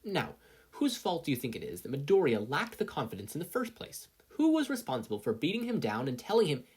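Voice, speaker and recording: A needling inner conscience from an audio story, played by a reader. It is smug, logical and teasing, with lively swings in pitch and a slightly husky tone. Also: brisk.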